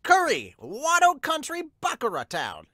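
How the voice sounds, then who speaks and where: A man's voice: very high-pitched, huge pitch swings, a little slow, pushed and bright, no echo, clean studio sound, pitched above the performer's own voice, exaggerated voice. A man voicing a dramatic manga announcer, calling out titles with theatrical weight.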